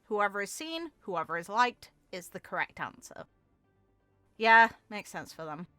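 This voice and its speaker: A woman with a bright, forward voice reads game lore aloud in a dry, close-sounding recording. Her delivery is expressive, and she slips into informal commentary as she goes. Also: mid-range voice, measured pace, clear, resonant tone, no echo, soft background music. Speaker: video game content creator.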